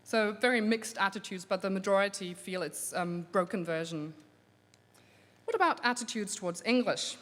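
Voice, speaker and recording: A woman researcher giving an academic conference lecture, measured and steady, with frequent um fillers. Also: mid-range voice. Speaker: linguistics researcher giving a plenary talk. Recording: long hall reverb.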